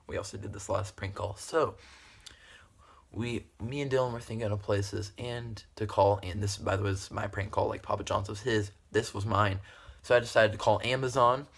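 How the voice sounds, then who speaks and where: A man's voice: on the low side, gravelly, slightly rough. A young man telling a story casually to camera in a rambling, giggly, repetitive way. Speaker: video creator telling stories.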